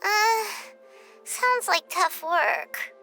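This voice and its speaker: A woman voicing a tiny childlike fairy companion in a video game, curious, whiny and excitable, with exaggerated reactions. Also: extremely high pitch, extremely slow, soft background music, full character voice. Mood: reluctant. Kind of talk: cartoonish video game voice acting.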